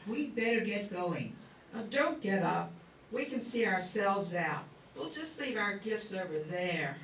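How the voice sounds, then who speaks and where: A woman's voice: medium pitch, animated, unhurried. A woman playing a polite, awkward wise king in a live comic radio play, apologetic in manner. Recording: distant echoey room, loud noise.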